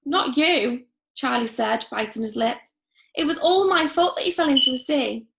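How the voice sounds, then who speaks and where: A woman reading a children's story aloud expressively, acting out the dialogue with lively emphasis. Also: high-pitched, normal speed, gravelly.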